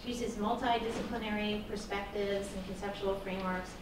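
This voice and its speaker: A woman gives a measured, formal introduction of a guest speaker. She sounds distant from the mic, with heavy classroom echo and clear background noise. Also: mid-range voice, steady tone. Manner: reads a measured, warm introduction. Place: university classroom. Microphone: far room microphone. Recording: very echoey classroom from far off, noisy.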